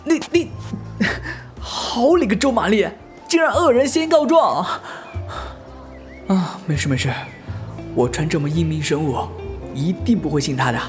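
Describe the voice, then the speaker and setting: An actor playing an excitable, naive young male idol in a comic audio drama, expressive and flustered, with music underneath. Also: medium pitch, unhurried, long pauses, close to an everyday voice. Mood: indignant, self-soothing. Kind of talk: audio drama acting, comedic.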